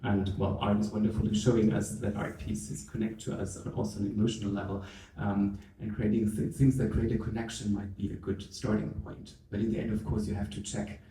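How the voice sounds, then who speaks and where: A man answers on a discussion panel in a thoughtful, soft-spoken way, letting his sentences trail off. His voice is very dark and relaxed. He is heard from a distance in an echoey room. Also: lowish voice, brisk. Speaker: scientist panelist. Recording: echoey room, mic far off.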